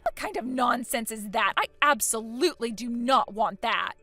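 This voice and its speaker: A woman's voice: fairly high pitch, moderate pace, sharp tone, dry recording, faint music behind. A woman voicing a spoiled, vain woman in her late twenties in acted story dialogue, dramatic, whiny and indignant. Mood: haughty, indignant. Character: spoiled, vain, panicky 29-year-old woman.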